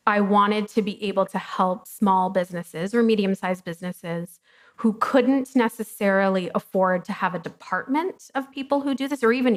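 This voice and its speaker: Woman, business co-founder, chatty interview guest, frank and casual. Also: medium pitch. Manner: chatty and candid, with frequent ums. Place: coworking studio, fireside chat before an audience.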